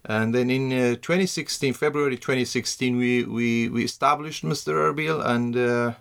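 A man's voice: medium pitch, fairly even intonation, moderate pace, creaky, fairly bright tone, clean tone. A man answers interview questions conversationally, repeating words as he searches for phrasing. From Iraq, born in Iran. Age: 30s. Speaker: podcast guest.

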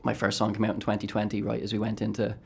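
A man's voice: lowish voice, completely flat delivery, fast, vocal fry, a little mellow, breathy edge. An Irish man, a singer-songwriter guest in a radio studio, chatting casually in a modest, self-deprecating way. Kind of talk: casual interview chat.

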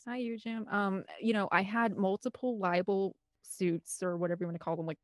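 A woman's voice: medium pitch, fairly even intonation, fairly quick, dry recording, clean studio sound. A woman giving an interview as a guest, telling personal stories in a candid, rambling, earnest and conversational way. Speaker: interview guest, film composer and director.

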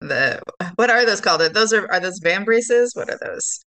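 A woman chatting casually as a tabletop game player, thinking out loud through her choices. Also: medium pitch, animated, normal speed. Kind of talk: casual chat.

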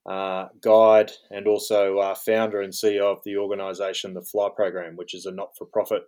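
This is an Australian man around forty with a fairly low voice. He keeps his pitch flat and even. He speaks casually as an interview guest and pads his speech with plenty of "um" and "you know". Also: fairly quick. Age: 40s. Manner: rambling, lots of fillers like um and you know. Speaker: guest, fly fishing guide. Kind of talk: relaxed interview talk.

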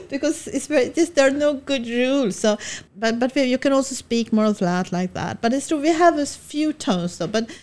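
A woman's voice: high-pitched, expressive, normal speed, a little mellow. A woman from Sweden with a Swedish accent, a guest in a casual interview, talking enthusiastically with frequent restarts and small laughs. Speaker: guest, film director and Swedish teacher.

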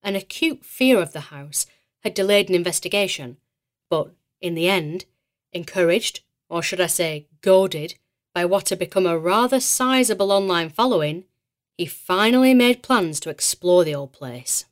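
A woman playing a poised podcast host of eerie mysteries in an audio drama, with a measured, articulate, theatrical delivery. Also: lowish voice, expressive, natural voice. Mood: measured, grave. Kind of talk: scripted podcast narration.

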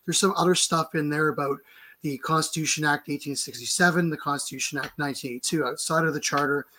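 A hoarse man, having lost his voice, explains a legal challenge fast and insistently on a video call, restarting words often. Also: fairly high pitch, steady tone, pushed and bright. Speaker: lawyer guest.

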